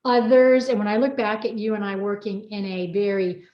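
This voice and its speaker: A middle-aged woman chats informally as a host, wandering from thought to thought. Her voice sits at an average pitch, and the sound is roomy and slightly muffled. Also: level tone, normal speed. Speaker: co-author hosting a personal chat. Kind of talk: unrehearsed casual chat.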